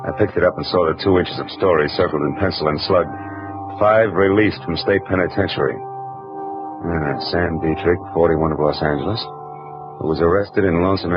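An actor playing a wisecracking hard-boiled private eye who narrates and needles suspects in a tough, sardonic manner, in a 1940s radio drama recording. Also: male voice, sits low, natural voice. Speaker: narrator and lead. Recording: hissy, dull old radio recording.

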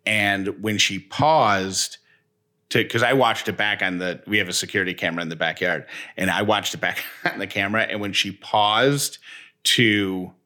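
A man's voice: on the low side, moderate pace, sharp tone. An American man in his thirties chatting casually as a co-host, emphatic, often repeating and restarting phrases. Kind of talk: casual personal chat.

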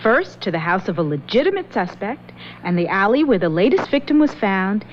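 An actress playing a curious, plucky young woman meddling with the occult, earnest and quick to alarm, in a 1970s radio drama. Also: mid-range voice, sing-song, slight background noise, close to an everyday voice. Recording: dull lo-fi radio broadcast.

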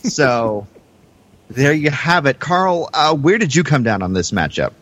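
A man hosting a casual podcast, talking in a halting way with repeated words. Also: mid-range voice, big pitch swings, fairly bright tone. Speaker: podcast host.

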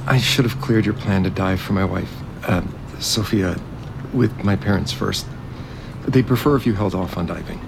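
Deep-voiced, gravelly grieving widower. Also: male voice, moderate pace, background noise. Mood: hesitant, apologetic. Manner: hesitant, apologetic, placating. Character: grieving resort heir, evasive and overwhelmed widower. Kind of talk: naturalistic audio drama acting.

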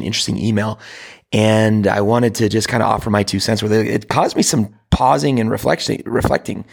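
A man who hosts a hockey coaching show, talking with a hoarse, raspy voice worn out from coaching. He gives casual advice and rambles, with many fillers and restarts. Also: on the low side. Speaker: hockey coach and podcast host.

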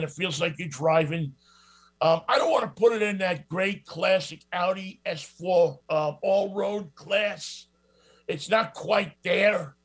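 High-voiced New York man. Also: fairly bright tone. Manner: rambling, many fillers and restarts. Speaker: radio show host reviewing cars. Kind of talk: casual spoken car review.

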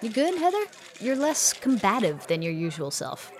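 A woman's voice: mid-range voice, very animated, moderate pace, close to an everyday voice. A woman playing breezy, teasing bartender. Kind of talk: naturalistic audio drama acting.

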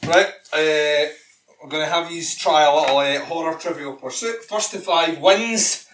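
A man's voice: on the high side, moderate pace, a bit bright. A man co-hosting a podcast in casual chat, teasing and wry. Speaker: podcast co-host. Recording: roomy and distant with faint hiss.

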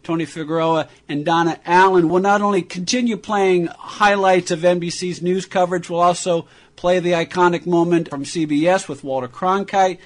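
A man's voice: sits high, steady tone, moderate pace, hardly any pauses. A man hosting a radio talk show, presenting and discussing with guests.

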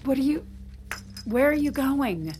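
A hurt, slow-spoken wife. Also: female voice, high voice, expressive, a little mellow, a little hiss or hum. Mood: shaken, uncertain. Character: hurt, guarded wife and mother in a failing marriage. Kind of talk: naturalistic audio drama acting.

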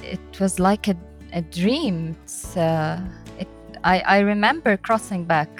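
A woman giving a reflective personal interview as a guest, speaking in a thoughtful, halting way. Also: on the low side, faint music behind. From Middle East and North Africa. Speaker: interview guest, environmental peace-building director.

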